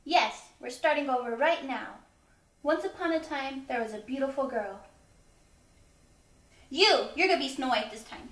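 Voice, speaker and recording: High-voiced indignant woman, roomy. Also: lively intonation, normal speed, pauses often, a bit bright. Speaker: performer in a student comedy. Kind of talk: comic acting.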